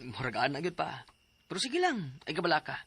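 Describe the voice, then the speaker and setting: Radio drama: dutiful young son and nurse, Cebuano accent, animated, slightly high voice, reassuring. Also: male voice, brisk, vocal fry, husky, heavily acted. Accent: Cebuano Filipino. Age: young adult.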